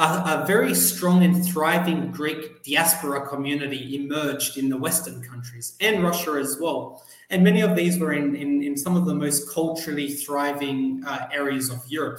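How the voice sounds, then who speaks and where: A man's voice: mid-range voice, reverberant, low-quality recording. A young Australian man, a guest on a podcast, explaining at length with frequent ums and uhs. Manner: measured explainer with fillers like um and uh. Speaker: guest, journalist and PhD student. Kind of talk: explanatory interview answers.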